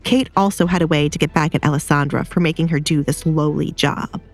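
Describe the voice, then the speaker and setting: A woman's voice: low voice, fairly even intonation, brisk, faint music behind. A woman narrator reading a scripted romance drama.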